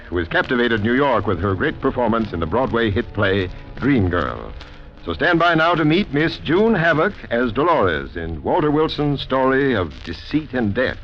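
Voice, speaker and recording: A man hosting a 1940s radio mystery program with formal, theatrical scripted introductions. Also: mid-range voice. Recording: dull old 1940s radio recording.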